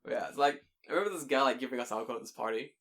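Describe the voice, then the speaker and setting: A young man from England, co-hosting a casual podcast, tells animated stories, laughs often and swears casually, on a boom-arm studio mic in a small room. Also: racing. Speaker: podcast co-host. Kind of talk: casual chat. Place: small home room, indoors.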